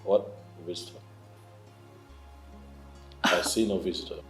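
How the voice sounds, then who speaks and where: A tired, dismissive king. His voice sits extremely low and he talks down to whoever is in front of him. Soft music plays behind. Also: fairly even intonation, unhurried. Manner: dismissive, impatient. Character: weary dismissive male king, middle-aged.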